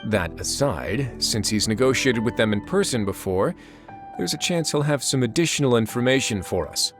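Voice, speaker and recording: A composed nobleman played by a man speaks with confident authority in a video game. His delivery is deliberate yet richly varied in pitch. Music sits clearly behind him. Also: animated, close to an everyday voice. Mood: calm, calculating. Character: composed, shrewd, authoritative male duke in charge. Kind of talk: scripted video game voice acting.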